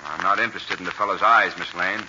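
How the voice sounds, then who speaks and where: An actor playing a gruff, skeptical middle-aged police commissioner in a brusque 1940s radio drama style. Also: male voice, low-pitched, steady tone, fast, close to an everyday voice. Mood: brusque, suspicious. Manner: brusque, authoritative. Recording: muffled, noisy 1940s radio recording.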